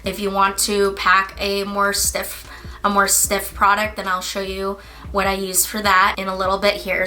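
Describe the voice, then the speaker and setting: A woman esthetician hosting a casual skincare vlog, her voice a little raspy from a lingering cough, chatting through her routine with fillers. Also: mid-range voice, sharp tone. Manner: chatty, explaining step by step with fillers. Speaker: licensed esthetician and beauty channel host. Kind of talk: casual product-review vlog.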